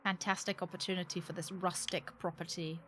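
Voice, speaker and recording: A woman's voice: on the low side, flat delivery, fairly quick, a bit bright, slight background noise. A British woman livestreaming a game, chatting casually and jokily with sarcastic asides and some swearing. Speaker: game streamer. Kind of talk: casual livestream chat while playing a game.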